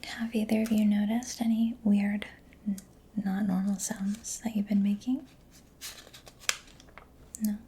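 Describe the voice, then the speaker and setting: A woman speaking in a soft, breathy whisper, gentle and soothing, in a close-miked ASMR personal attention roleplay as a mechanic. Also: mid-range voice, flat delivery, unhurried, pauses often, a little hiss or hum. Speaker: ASMR roleplayer playing a robot repair mechanic. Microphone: close microphone.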